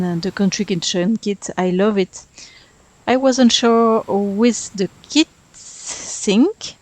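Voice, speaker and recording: A woman's voice: medium pitch, a little mellow, poor audio. A woman with a French accent, a native French speaker in her thirties, chatting through a game build as voice-over narration, talkative and self-deprecating. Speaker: Sims speedbuild YouTuber.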